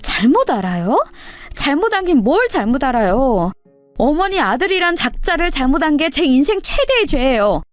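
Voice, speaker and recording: A woman's voice: high-pitched, sing-song, normal speed, dry recording, voice pushed up. Woman playing betrayed wife, bitter n cutting, emphatic, audio story, music behind. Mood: bitter fury. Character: bitter, betrayed wife confronting her in-laws.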